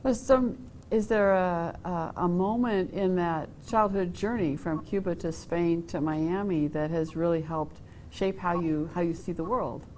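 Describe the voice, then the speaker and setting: A woman with a fairly low voice hosts an interview and asks her questions in a relaxed, conversational way. A faint noise runs under her voice. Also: unhurried. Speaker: host. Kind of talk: interview hosting.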